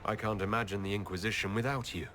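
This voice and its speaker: Actor as composed, low-voiced elf hero. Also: male voice, steady tone, fast, a little hiss or hum, lightly acted. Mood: fond. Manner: dry, gently teasing. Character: composed elf hero man, amused and teasing. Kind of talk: video game dialogue acting.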